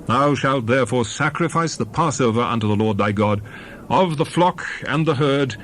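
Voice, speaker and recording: A man's voice: mid-range voice, normal speed, a bit bright, slight background noise. A man reading scripture as a narrator in a solemn, oratorical, dramatized style with emphatic phrasing. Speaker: Bible narrator.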